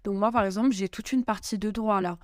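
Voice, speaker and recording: Young French woman, smooth and hesitant. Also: mid-range voice, steady tone, fairly quick, dry recording, clean studio sound. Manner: hesitant, many fillers and restarts. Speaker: guest. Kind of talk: casual interview answers. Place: home in Italy.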